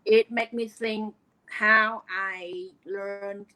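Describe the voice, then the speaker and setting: A middle-aged woman with a Vietnamese accent speaks in an earnest, hesitant way, filling her pauses with "uh" and "um." Her tone is bright and forceful, and she speaks at a moderate pitch for a woman. She comes across as a guest sharing her personal journey in an interview. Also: steady tone. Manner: earnest, hesitant with many fillers. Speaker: guest, online business coach.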